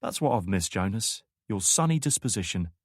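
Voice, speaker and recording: A man's voice: lowish voice, very animated, moderate pace, no room sound. A man voicing a dry, sardonic British male soldier with a British accent.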